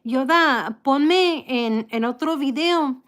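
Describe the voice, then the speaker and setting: A woman from the United States talks casually to her live stream viewers. Her voice sits a bit high and she speaks slowly, with pauses and restarts. Her articulation is slightly impeded, giving her words a careful, halting feel. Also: a bit bright. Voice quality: slightly impeded articulation from a false tooth and past stroke. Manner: halting, unhurried, greeting viewers by name.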